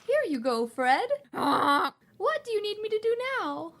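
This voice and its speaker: Very squeaky timid mother swan. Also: big pitch swings, unhurried, fairly bright tone, full character voice. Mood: meek. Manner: hesitant, pleading, gulping, easily flustered. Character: timid, anxious mother swan, fretful and eager to please. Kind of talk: audio drama cartoon-style character acting.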